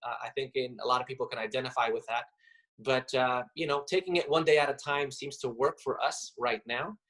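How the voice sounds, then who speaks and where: Man, interview guest, thoughtful, pauses and fillers. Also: medium pitch, fairly even intonation, a bit bright. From Romania. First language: Romanian. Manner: reflective, halting, many fillers. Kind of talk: casual interview.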